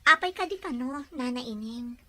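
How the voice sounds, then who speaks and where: A Filipino woman playing an earnest young daughter in love who defies her mother in an Ilocano radio drama, expressive and plaintive. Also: high voice, moderate pace, full character voice. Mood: puzzled, earnest. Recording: faint background noise.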